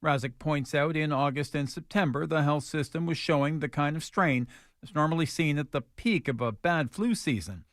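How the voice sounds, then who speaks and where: Guy doing radio news, slightly high voice, super dry studio mic. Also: normal speed, no room sound. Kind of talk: scripted radio news reading. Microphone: close studio microphone.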